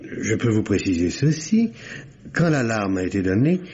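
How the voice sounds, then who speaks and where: A male actor playing a flamboyant, high-strung private secretary, effusive and stammering, in a French radio mystery play. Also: very animated, moderate pace, darkish tone, slight background noise. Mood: wistful, obsequious. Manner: effusive, dramatic, indignant outbursts, stammering. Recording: dull vintage radio recording.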